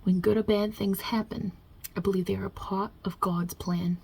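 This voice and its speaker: A woman voicing God as a wry, touchy Italian mob boss in an ASMR roleplay, with a husky, breathy voice and a put-on Italian-American New York accent. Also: medium pitch, flat delivery, moderate pace. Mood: reading out questions. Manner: casual, wry, chatty, with softly murmured asides.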